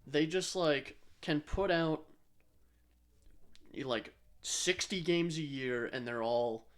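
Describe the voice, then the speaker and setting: A man's voice: on the high side, slow. A man co-hosting a podcast, chatting casually and informally about games, sometimes repeating himself for emphasis. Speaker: podcast co-host.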